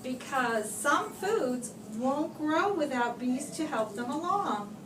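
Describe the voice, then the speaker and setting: A woman reading a children's picture book aloud like a teacher, with expressive, deliberate phrasing and pauses for young listeners, recorded at a distance in a room. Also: high voice. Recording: roomy, distant microphone, clear background noise.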